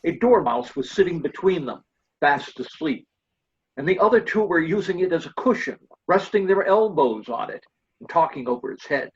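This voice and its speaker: A man's voice: fairly high pitch, animated, normal speed. A man reading scripted storybook narration as the narrator. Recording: dull lo-fi sound.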